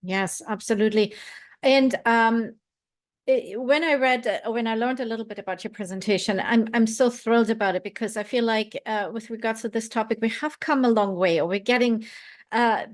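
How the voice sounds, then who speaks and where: A woman's voice: on the high side, moderate pace. A woman, a midwife and host, asking questions with enthusiastic, affirming remarks. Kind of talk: online interview.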